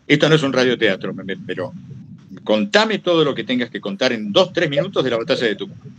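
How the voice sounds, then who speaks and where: Animated Argentine man teaching informally. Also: medium pitch, quick pace, slight background noise, low-quality recording. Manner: rambling and anecdotal, repeats phrases for emphasis. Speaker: workshop guest speaker, veteran radio broadcaster.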